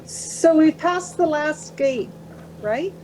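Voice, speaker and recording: An anxious female traveller in a radio play, played by a woman, speaks in a high voice. She is fretful, hesitant and full of questions, with faint vehicle noise behind her. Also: animated, takes it slowly, darkish tone, rough recording. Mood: worried.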